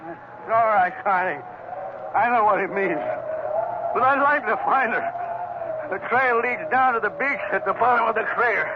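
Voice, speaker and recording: A weary husband played by a male actor speaks with resignation in a high voice, in 1940s radio drama style. The recording is muffled, with very heavy noise. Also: normal speed, long pauses, lightly acted. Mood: resigned. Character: weary, resigned husband searching for his wife.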